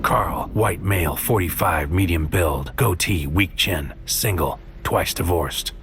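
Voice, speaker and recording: An actor narrating as a bitter psychic soldier, delivering poetic, clipped noir lines in a brooding manner. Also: male voice, low voice, slow pace, fairly bright tone, a little hiss or hum, full character voice. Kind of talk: noir spoken-word scripted narration.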